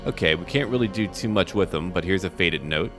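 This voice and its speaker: A man hosting a roleplay gameplay video, recapping and musing aloud in a measured way as he plays. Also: low voice, expressive, music in the background. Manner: measured, musing, talks to himself. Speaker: let's play host. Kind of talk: narrated roleplay gameplay commentary.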